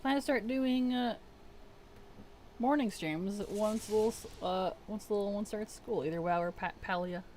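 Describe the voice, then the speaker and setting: Live streamer, mid-pitched, strained and weak-voiced, chatty and soft-spoken, reads chat aloud. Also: moderate pace, a little hiss or hum. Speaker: game streamer. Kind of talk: casual live-stream chat while playing.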